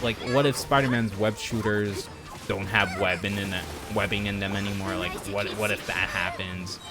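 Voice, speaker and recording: A man chatting casually and informally as a game livestreamer, rambling with opinionated, exasperated asides. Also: fairly low pitch. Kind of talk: casual rambling stream chat about games. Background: background music.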